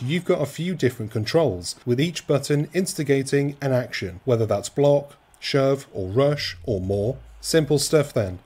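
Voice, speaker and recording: A man with a husky, slightly breathy voice reads a scripted game review. His delivery is dry and cheeky, with a mid-range male pitch. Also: a little mellow. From rural Wales.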